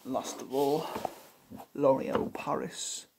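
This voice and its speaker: A young man playing a chilled roadman street barber in an ASMR roleplay, softly spoken and often whispering, with laid-back slangy talk. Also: mid-range voice, fairly even intonation, slow. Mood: chilled, hushed. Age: young adult. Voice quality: creaky, hushed. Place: small living room or bedroom indoors. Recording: noisy hissy home recording, clicks.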